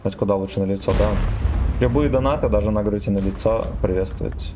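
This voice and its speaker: A man's voice: fairly low pitch, quick pace, noisy background. A young man streaming a tank game, joking with chat and swearing freely. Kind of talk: casual game stream chatter.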